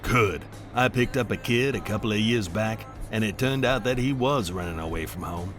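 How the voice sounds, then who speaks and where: An actor playing a plain-spoken, tough middle-aged truck driver in an audio drama. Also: male voice, lowish voice, normal speed, music in the background, close to an everyday voice. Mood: easygoing. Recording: clear vehicle noise behind.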